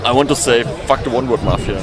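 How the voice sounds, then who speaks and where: A man's voice: medium pitch, fast, a loud crowd. A German man in his twenties with a German accent, answering a street interview in hesitant but emphatic English. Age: 20s. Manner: hesitant, then emphatic and defiant. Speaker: protester.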